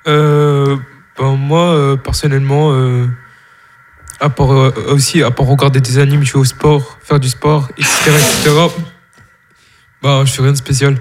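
A man's voice: medium pitch, flat delivery, normal speed. Teen boy, hesitant, lots of ums, faint background hiss. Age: teens. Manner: hesitant, many fillers. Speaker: guest. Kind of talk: casual interview answers.

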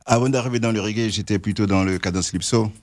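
A man's voice: lowish voice, quick pace, darkish tone. A man from French Guiana, a reggae musician guest, talking casually and a bit hesitantly in a radio interview. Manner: casual, slangy, slightly hesitant.

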